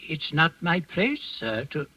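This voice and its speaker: A husky old castle servant, on a dull vintage radio recording with music. His high voice moves around a lot as he defers politely, guarded and faintly defiant. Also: big pitch swings, a little slow, no room sound, heavily acted. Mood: uneasy, guarded. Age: 60s or older. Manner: deferential, hesitant, evasive. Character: elderly, guarded Danish castle manservant, deferential yet dryly defiant. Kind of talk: 1940s radio drama acting.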